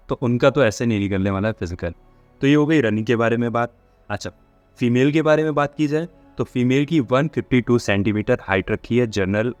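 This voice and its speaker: A man presents an informal, explanatory update in a mid-pitched voice. He drops English words into his speech as he addresses his audience of candidates. Music plays behind him. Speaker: host of a job updates channel.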